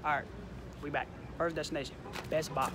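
A man's voice: fairly high pitch, fairly even intonation, brisk. An American man in his twenties chatting casually and in slang as he vlogs into a phone at arm's length. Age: 20s. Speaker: vlogger. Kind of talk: casual vlog chat, slangy. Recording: noisy outdoor, car and store ambience.

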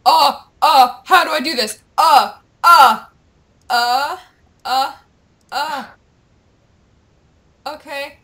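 Bright-voiced, high-pitched young woman gamer. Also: drawn-out words, long pauses. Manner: playful, excitable, teasing. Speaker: gamer, video host. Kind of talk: casual gameplay commentary with reading aloud of on-screen dialogue.

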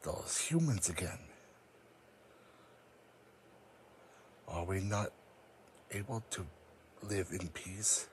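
Man playing weary psychic creature for fan audio drama: low-pitched, grave, formal, noisy home recording. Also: animated, measured pace. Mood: solemn, troubled. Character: solemn, weary, psychic cat-like creature, proud and wary.

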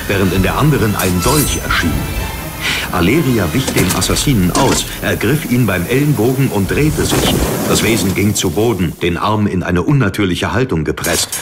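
A man reading scripted dramatic narration as an audiobook narrator. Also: sits low. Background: music under the narration.

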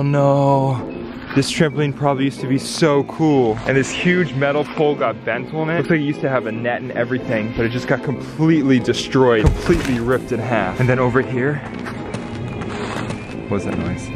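A young man filming himself at arm's length as he hurries through the woods, talking urgently with gasps and exclamations. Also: medium pitch. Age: young adult. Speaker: vlogger. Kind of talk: excited vlog narration. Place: woods outdoors. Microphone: handheld camera at arm's length.

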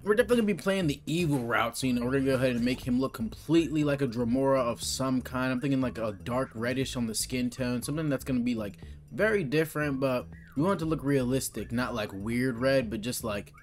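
A man's voice: mid-range voice, fast. A young man giving casual, slangy tutorial commentary as a gaming video host. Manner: informal, slangy, explanatory. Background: music.

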